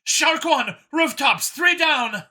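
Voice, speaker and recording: A brusque female squad leader on comms in a sci-fi audiobook, performed by a male narrator. The voice is raised in pitch and very bright. Delivery is animated and military. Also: slow pace, heavily acted. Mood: urgent shouting. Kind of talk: audiobook character voice.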